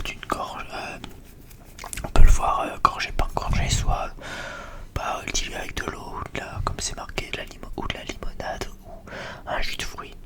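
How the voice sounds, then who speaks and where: An ASMR host chatting casually in a whisper, rambling along in informal, slangy speech close to the microphone.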